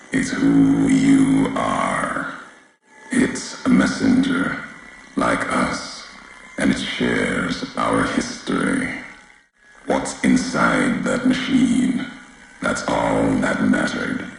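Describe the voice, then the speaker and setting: An actor playing a brooding, fatalistic warrior courier in a video game, speaking solemnly in clipped, cryptic phrases with a very deep gravelly voice. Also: male voice, heavy reverb, a little hiss or hum, exaggerated voice, rough recording. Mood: grave, reproachful. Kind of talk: video game character dialogue, slow cryptic monologue.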